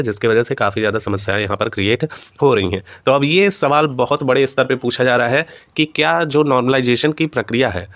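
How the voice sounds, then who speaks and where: A man's voice: medium pitch, a bit bright, no room sound, lo-fi sound. A man hosting an informal exam news update, explaining things to students and mixing in English terms. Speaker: host of an exam news channel.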